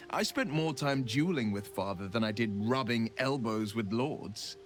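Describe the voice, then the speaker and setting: Man playing a gallant, measured monster hunter. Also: mid-range voice, animated, soft background music, close to an everyday voice. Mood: fond, wistful. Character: noble, earnest male monster hunter, proud and wistful. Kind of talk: video game character dialogue.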